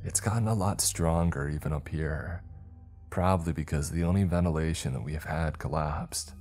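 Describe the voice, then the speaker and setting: Man acting weary low-voiced log keeper. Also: darkish tone, faint music behind. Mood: weary, subdued. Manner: slow, halting, subdued. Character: weary man recording a grim log while trapped underground.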